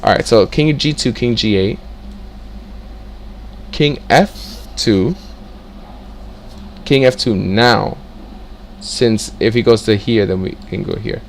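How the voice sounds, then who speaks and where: Low-voiced young man thinking aloud. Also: takes it slowly, long pauses, a little hiss or hum. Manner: reads out moves, breaks into excited exclamations. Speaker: chess tutor. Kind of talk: casual screen-share lesson, thinking aloud.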